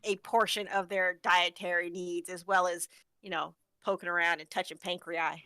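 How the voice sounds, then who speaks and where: A woman's voice: fairly low pitch, level tone, moderate pace, bright, forceful tone, clean tone, no echo. A woman in casual, playful conversation, talking informally. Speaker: audio drama after-show participant. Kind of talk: casual chat.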